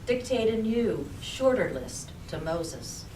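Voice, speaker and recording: A woman poet reading her own poem aloud at a live reading, in measured, deliberate phrases. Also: mid-range voice, sing-song. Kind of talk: poetry recitation at a live reading. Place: public library reading room. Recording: roomy, distant mic, noisy background.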